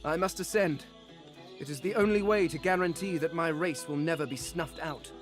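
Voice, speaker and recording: A man playing the proud, sombre last survivor of a noble race in a fantasy game, speaking in grave, deliberate declarations. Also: on the high side, over music, natural voice. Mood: solemn resolve. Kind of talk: dramatic video game character acting.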